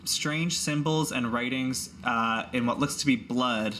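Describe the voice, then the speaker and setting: Game master for improvised tabletop narration; casual, explanatory, mid-pitched, slight noise. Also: a little slow, fairly bright tone.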